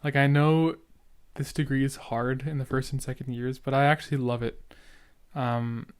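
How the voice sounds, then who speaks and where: A young adult man with a mid-pitched voice speaks casually and candidly, like a podcast host reacting to old footage. He is earnest and a little halting, with occasional stutters and repeated words. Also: flat delivery, smooth.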